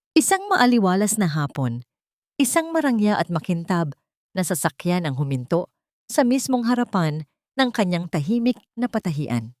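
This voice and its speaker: A woman reads a dramatic audio story in a slightly low voice. Her delivery is measured and solemn, like a storyteller working from a script. The recording is dry and close, with little room sound. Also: darkish tone, no echo. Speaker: story narrator.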